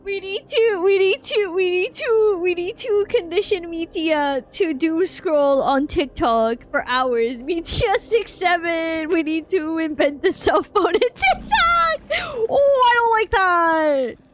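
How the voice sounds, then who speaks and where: A woman's voice: extremely high pitch, lively intonation, takes it slowly. A woman streamer giving playful, casual live game reactions, often repeating words and phrases. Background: game audio and music.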